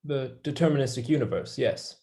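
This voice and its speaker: A man's voice: mid-range voice, fairly quick, vocal fry, dark tone, lightly acted. A young man, a student actor, playing a wry, dry-witted mathematician in a staged play reading. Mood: patient, explaining. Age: young adult.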